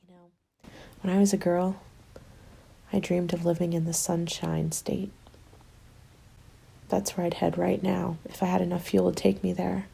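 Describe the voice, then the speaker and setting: A woman playing a weary survivor of a zombie winter in a soft, breathy, confiding ASMR roleplay. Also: on the low side, flat delivery, unhurried, long pauses, heavily acted, poor audio. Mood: wistful.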